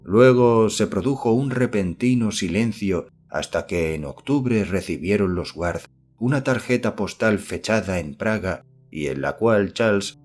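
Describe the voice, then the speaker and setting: A man reading a literary horror story as an audiobook narrator, in measured, formal scripted narration. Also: lowish voice, darkish tone.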